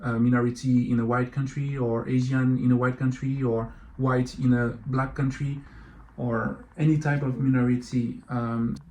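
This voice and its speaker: A young man, co-hosting a casual couple chat in slow, thoughtful, hesitant speech. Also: medium pitch, level tone, a little mellow. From Belgium. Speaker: co-host of a couple channel. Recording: echoey room, faint noise.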